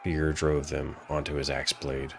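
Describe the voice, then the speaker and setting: A man narrating a dramatic fantasy story from a script in a solemn, measured way. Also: extremely low pitch, completely flat delivery, vocal fry, soft background music.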